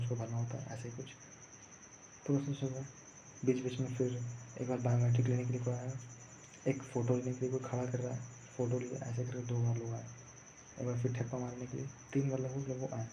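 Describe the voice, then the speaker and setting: A young man telling a story casually, in a rambling, repetitive way. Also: medium pitch. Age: young adult. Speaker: vlogger recounting own exam day. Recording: muffled lo-fi audio, faint background noise.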